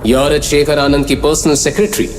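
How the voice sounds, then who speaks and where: A man's voice: fairly high pitch, level tone, fairly quick, soft background music. A man narrating a scripted audio drama story in a dramatic storytelling style.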